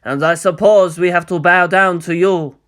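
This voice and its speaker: A man's voice: high-pitched, steady tone, moderate pace, fairly bright tone. A man voicing a hot-headed, defiant man who sneers and lashes out in a story. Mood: sneering.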